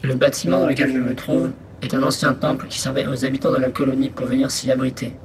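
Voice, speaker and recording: A man playing a small, methodical exploration robot in a sci-fi audio drama, speaking in a measured, matter-of-fact way. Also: medium pitch, level tone, noisy background, natural voice. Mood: analytical, curious. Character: small exploration robot, methodical, dutiful scout reporting findings. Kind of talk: scripted sci-fi audio fiction monologue.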